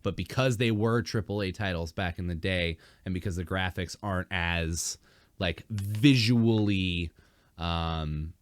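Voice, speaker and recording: Deep-voiced man hosting a podcast. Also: unhurried, no echo. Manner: rambling, many fillers and restarts. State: dry throat, slightly hoarse. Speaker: podcast host. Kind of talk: casual podcast chat.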